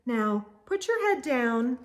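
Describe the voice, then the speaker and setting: A woman's voice: fairly high pitch, sing-song, slow, slight background noise. A woman voicing a composed, mildly stern schoolteacher in a children's storybook, polite but dismissive. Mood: brisk, dismissive.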